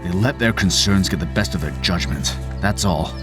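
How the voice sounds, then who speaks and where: A man's voice: deep voice, moderate pace, music in the background, lightly acted. A man playing a stern, bitter middle-aged father in an argumentative, commanding way for an audio drama. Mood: grave, guarded. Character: stern, bitter father, anti-military colonial speaker.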